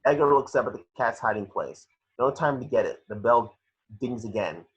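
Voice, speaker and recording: A man's voice: mid-range voice, animated, normal speed, slightly rough. A man narrating scripted action descriptions in an amateur table read, on a lo-fi home recording.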